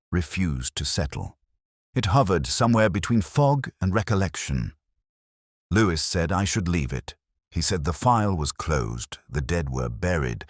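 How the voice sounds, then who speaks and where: Very deep, brooding detective played by a man. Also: dry recording, studio quality, exaggerated voice. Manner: solemn, literary, reflective, measured phrasing. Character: melancholy, brooding older English police inspector recalling an old case. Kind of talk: first-person scripted narration, audio detective drama.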